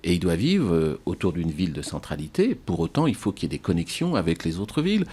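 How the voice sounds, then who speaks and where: A man speaking as a town mayor in a political interview, halting and repeating words for emphasis. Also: sits low, big pitch swings, a little mellow, breathy edge.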